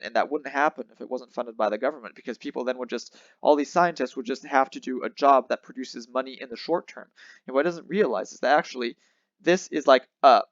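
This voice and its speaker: A man's voice: mid-range voice, no echo, lo-fi sound. A man hosting a show who comments on a debate clip in a casual way, thinking aloud with fillers and restarts. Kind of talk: reaction commentary.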